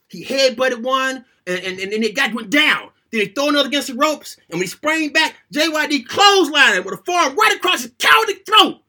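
Animated high-voiced man telling a story. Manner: animated, conversational storytelling in a colloquial voice. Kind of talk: dramatic reading aloud of a first-person childhood story.

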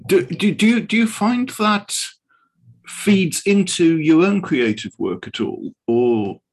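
Husky man interviewing in halting starts. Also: high-pitched, animated. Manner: halting, repeats words as he forms questions. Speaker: podcast co-host, interviewer. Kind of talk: casual conversational interviewing.

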